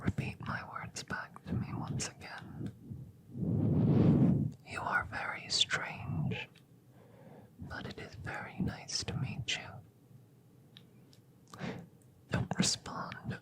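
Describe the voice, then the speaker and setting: Woman as a slow, whispery alien scientist girl. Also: close to an everyday voice. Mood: hushed, clinical. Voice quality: soft, breathy whispering. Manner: precise, formal, detached, unhurried. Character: curious alien scientist girl, plant-like, calm and clinical. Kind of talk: ASMR audio roleplay, close soft whispering. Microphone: close microphone.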